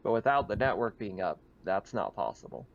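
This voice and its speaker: Low male game master, dull lo-fi mic. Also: sing-song, a little fast, gravelly. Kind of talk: casual game explanation.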